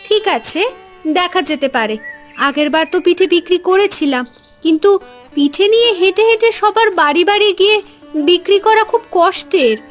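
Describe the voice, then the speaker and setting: A woman voicing a patient young village mother in a cartoon, speaking gently and calmly. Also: extremely high pitch, moderate pace, soft background music. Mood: gentle. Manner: gentle, calm.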